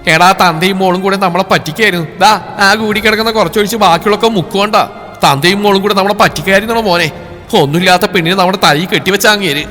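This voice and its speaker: A man voicing an angry middle-aged mother-in-law who shouts and scolds. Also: high voice, quick pace, voice pushed up. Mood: furious. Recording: long reverb, faint music behind.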